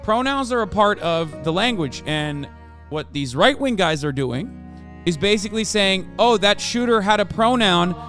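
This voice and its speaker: A man's voice: sits high, animated, measured pace, a bit bright, soft background music. A man whose first language is Turkish, hosting a casual live-stream news commentary with profane, sarcastic rants. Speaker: streamer host.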